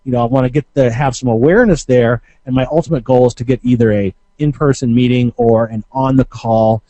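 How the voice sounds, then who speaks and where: Mellow-voiced man giving informal coaching. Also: medium pitch, fairly even intonation, dry recording, muffled recording. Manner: halting, conversational, with frequent hesitations and self-corrections. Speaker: marketing coach and Q&A host. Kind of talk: informal instructional talk.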